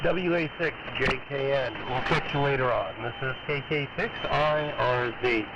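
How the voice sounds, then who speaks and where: A noisy radio transmission carries a man's voice at an ordinary middle pitch. He chats as a ham operator, easygoing and rambling, in a casual conversational style. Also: unhurried, muffled recording.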